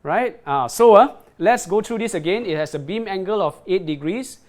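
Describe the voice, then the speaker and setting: A man hosting a live product demo, talking off the cuff as he reads out viewer comments. His voice sits a touch higher than most men's. He stops and restarts a lot and keeps asking "right?". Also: expressive. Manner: halting, repeats words, checks in with "right?". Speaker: product presenter on a livestream.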